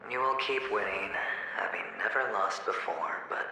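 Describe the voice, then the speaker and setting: An actor voicing a cynical male inner double of a gambler in a game cutscene, speaking in a slow, taunting, knowing way. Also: on the low side, bright, forceful tone, heavy reverb, big-room sound, slight background noise, rough recording. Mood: somber.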